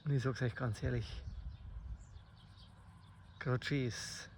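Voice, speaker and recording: A man with a Bavarian accent improvises spoken poetry outdoors in a slow, reflective, halting way, in short phrases with long pauses. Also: medium pitch, steady tone. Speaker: amateur poet. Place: outdoors in the countryside. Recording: faint outdoor nature noise. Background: birdsong and rural ambience.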